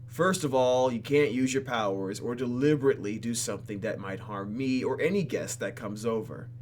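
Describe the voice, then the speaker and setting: A man voicing the hesitant, kind young heroine of a visual novel game in an earnest, halting way. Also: mid-range voice, darkish tone, close to an everyday voice.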